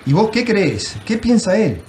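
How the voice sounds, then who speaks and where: A man's voice: sits high, big pitch swings, unhurried, a little mellow. A talkative man in his forties from Buenos Aires, voiced by an actor, who says vos and che, with a Buenos Aires Spanish accent. His voice swings widely up and down as he chats away in this audiobook. A faint hiss sits under the recording. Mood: chatty. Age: 40s. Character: chatty porteño man, psychologist and tango pianist. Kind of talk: audiobook character reading.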